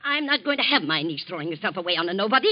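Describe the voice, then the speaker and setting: A woman's voice: lowish voice, sing-song, rapid-fire, dull audio. An actress playing a rich, bad-tempered old aunt who scolds and commands her niece, in 1940s radio acting style. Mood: angry. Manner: sharp, scolding, imperious.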